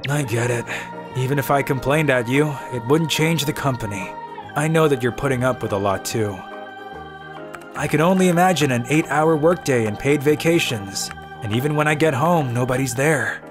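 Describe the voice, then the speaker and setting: A man's voice: mid-range voice, moderate pace, music in the background, close to an everyday voice. A man playing a weary, cynical young office worker who grumbles and narrates his own manga story in a dry, exasperated way. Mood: resigned, grumbling. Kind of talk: dubbed manga story narration in first person.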